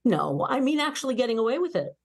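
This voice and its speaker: A brash young woman officer from a sci-fi cartoon script, read by an actress at a table read. She is nosy, mischievous and bossy. Her intonation swings in a lively, expressive way. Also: on the high side, fast. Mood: gleeful.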